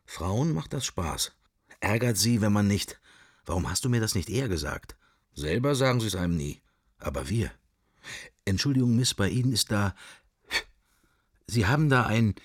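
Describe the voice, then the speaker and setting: A man's voice: fairly low pitch, no room sound, studio quality. An actor playing a middle-aged man musing wryly to himself in clipped, wandering thoughts in a literary radio play. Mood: wry, amused.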